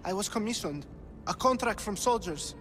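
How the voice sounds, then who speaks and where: An actor playing a cowardly, poor young artist who pleads fearfully in a video game cutscene. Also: male voice, high voice, lively intonation, normal speed, a bit bright, soft background music. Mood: frightened pleading.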